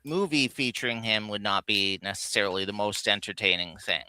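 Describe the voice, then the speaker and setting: Male co-host, bright clean voice, close dry sound, conversational, a bit hesitant and self-correcting. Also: fairly low pitch, fairly quick, clean tone, no room sound. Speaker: co-host of a video game countdown livestream. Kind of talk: casual unscripted chat.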